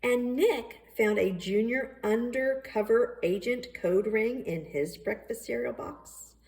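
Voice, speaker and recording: A woman reading a children's picture book aloud expressively, with dramatic, drawn-out emphasis on key words. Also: on the high side, darkish tone. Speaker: storybook reader. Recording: distant from the mic.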